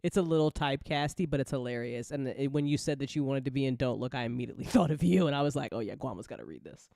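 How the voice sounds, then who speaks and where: A woman's voice: extremely low pitch, expressive, fast, vocal fry, warm tone, no room sound, studio quality. A woman hosting a casual chat with playful banter, repeating phrases for emphasis. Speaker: show creator and after-show host.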